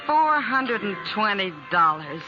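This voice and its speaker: An actress playing a spirited, warm-hearted frontier saloon woman in a 1950s radio drama, frank and conversational. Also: mid-range voice, big pitch swings, a little slow. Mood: indignant. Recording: muffled 1950s radio recording. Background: loud music.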